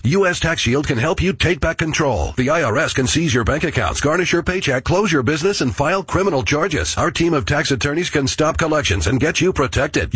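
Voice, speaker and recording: A man's voice: fairly high pitch, a bit bright, breathy edge, no echo. A man reading a radio commercial in an urgent, direct way. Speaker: commercial announcer. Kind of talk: scripted ad read.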